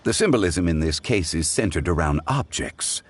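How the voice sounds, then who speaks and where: An actor playing a dignified, learned gentleman judge in a video game, speaking formally and eloquently in measured phrases. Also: deep voice, animated, slight background noise. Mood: calm, scholarly.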